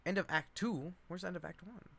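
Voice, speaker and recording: A man's voice: high-pitched, a little fast, gravelly, fairly bright tone, slight background noise. A man rambling casually to viewers as an amateur online reader, self-deprecating and given to swearing.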